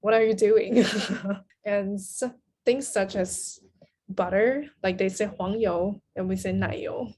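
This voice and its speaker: A woman with a Taiwanese accent speaks as a guest in a conversational interview. She is a native Mandarin speaker and her voice sits at a medium pitch for a woman. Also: slow pace, warm tone. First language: Mandarin Chinese. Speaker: podcast guest, literary translator.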